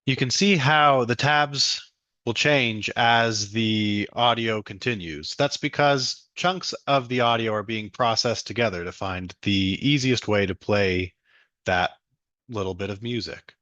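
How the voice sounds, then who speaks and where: A young man guides the listener through a software demo step by step. His voice is on the low side, and the sound is close and dry with no room echo. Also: measured pace. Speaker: student demo presenter.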